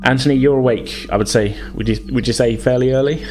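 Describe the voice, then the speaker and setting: A man's voice: mid-range voice, quick pace. A man narrating as game master of a tabletop roleplaying game in a conversational, improvised, hesitant way. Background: background music.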